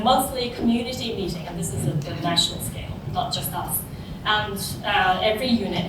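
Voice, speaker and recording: A woman from South Korea, around her thirties, giving an informal, somewhat halting conference talk built on personal storytelling. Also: mid-range voice. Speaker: conference speaker, social entrepreneur. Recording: distant, very echoey room with clear noise.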